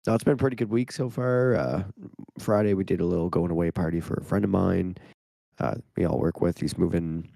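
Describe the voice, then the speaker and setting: A man's voice: low-pitched, steady tone, brisk, creaky, mellow, no room sound. A man from Canada co-hosting a gaming podcast who is losing his voice, easygoing and laughing between phrases. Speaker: podcast co-host. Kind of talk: casual gaming podcast chat.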